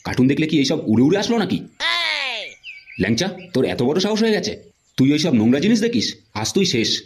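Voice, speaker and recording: A man voicing a stern, short-tempered middle-aged father in a comedy cartoon, gruff and demanding. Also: on the high side, very animated, fast talker, a crowd in the background. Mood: furious.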